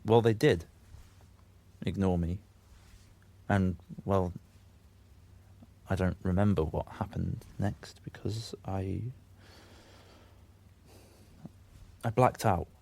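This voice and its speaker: A man's voice: low-pitched, slow pace, vocal fry, pauses often, darkish tone, full character voice. An actor playing a troubled, self-justifying young man who confesses and makes excuses in a naturalistic audio drama. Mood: bitter recounting. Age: young adult. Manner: confessional, defensive, sweary.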